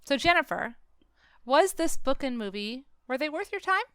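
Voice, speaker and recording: A woman hosting a podcast, talking casually about books and films in an animated, emphatic, argumentative way. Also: high-pitched, moderate pace, dry recording, studio quality. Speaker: podcast host. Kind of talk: casual book and film discussion.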